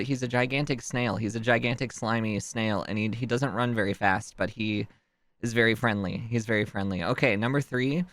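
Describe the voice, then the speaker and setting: A man's voice: fairly bright tone, no echo. A man streaming alone, rambling casually and interrupting himself, his nose stuffed up from crying. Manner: rambling, self-interrupting, chuckling, jumps in pitch.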